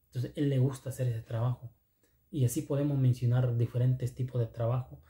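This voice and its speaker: A man from Oaxaca, a native Zapotec speaker with a Mexican second-language accent, giving casual motivational vlog talk in halting, repetitive, earnest phrases. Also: medium pitch, level tone, warm tone, reverberant. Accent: Mexican, second-language Spanish with Zapotec influence. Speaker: video channel host.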